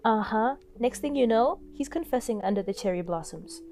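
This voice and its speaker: A woman's voice: a little high, animated, normal speed, pitched above the performer's own voice. Woman voicing a brash, gleeful teasing young woman. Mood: sly teasing. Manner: theatrical, mocking, loud outbursts. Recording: music under the voice.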